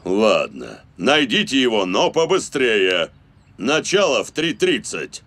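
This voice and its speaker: A man voicing a gruff adult football coach in a cartoon dub, curt and grumbling, with loud music behind. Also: on the low side, very animated, slow, heavily acted. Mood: stern.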